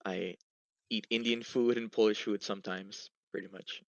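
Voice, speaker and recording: A man's voice: on the low side, fairly even intonation, moderate pace, creaky, dry recording, dull audio. A young man from Hawaii answering interview questions casually, with many fillers and restarts. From Hawaii, USA.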